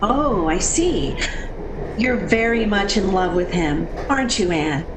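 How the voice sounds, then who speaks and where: A woman's voice: mid-range voice, animated, slow, background noise. An actress playing a sensible, worried woman in a 1940s radio drama, speaking with earnest, theatrical delivery. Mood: gently doubtful. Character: sensible, worried woman friend, haunted when narrating the past. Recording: echoing radio play recording with music behind.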